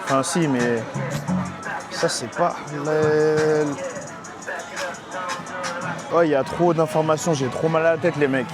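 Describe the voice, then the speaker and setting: An excitable young man from France chats straight to his viewers as he wanders busy city streets. His voice sits a little high and runs on in slangy bursts, with background music mixed clearly under the talk. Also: slow pace, pauses often, crowd noise. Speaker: vlogger. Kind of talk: casual travel vlog talk.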